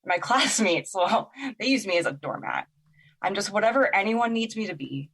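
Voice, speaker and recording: Bitter young woman, medium pitch, exasperated, plain-spoken, wounded, audio drama. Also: sing-song, moderate pace, a bit bright, husky, heavily acted. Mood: bitter, hurt. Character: Olivia, wounded, resentful, skeptical young human woman.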